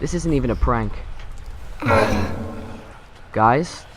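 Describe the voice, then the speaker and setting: A man's voice: mid-range voice, expressive, slow, background noise. A man playing a cocky, short-tempered teenage boy who talks in sarcastic slang, in an amateur radio drama. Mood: sarcastic, annoyed.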